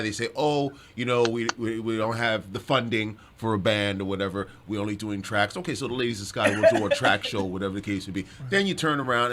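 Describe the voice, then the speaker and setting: Low-voiced man in casual chat. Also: background noise. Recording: busy room with crowd chatter.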